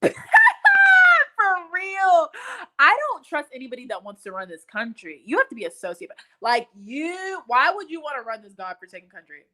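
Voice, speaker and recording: A woman from Texas talks casually and with strong opinions. Her voice is on the high side and bright, swinging widely in pitch. She is emphatic and swears freely. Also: very animated, fast talker, few pauses. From Texas, United States. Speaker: live stream host. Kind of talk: casual hangout chat.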